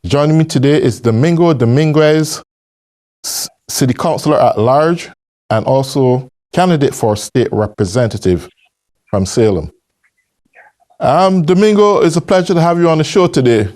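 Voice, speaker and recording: A man's voice: on the high side, lively intonation, normal speed, a little mellow. A man hosting a local interview show, asking questions and giving short affirmations. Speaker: talk show host.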